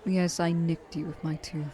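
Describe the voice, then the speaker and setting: Woman playing a mellow, seductive immortal vampire woman. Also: fairly low pitch. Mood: teasing, possessive. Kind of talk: ASMR roleplay.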